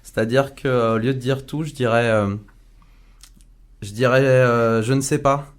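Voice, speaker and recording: A man's voice: medium pitch, steady tone, moderate pace, smooth. A man speaking as a guest in a casual studio discussion, hesitant and searching for words. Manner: hesitant, full of fillers and restarts.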